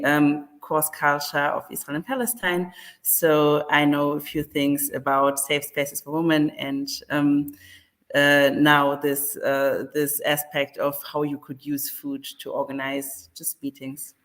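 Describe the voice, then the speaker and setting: Low-voiced upbeat woman. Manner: friendly, upbeat, hesitant fillers. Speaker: project leader at a nonprofit. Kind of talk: panel self-introduction.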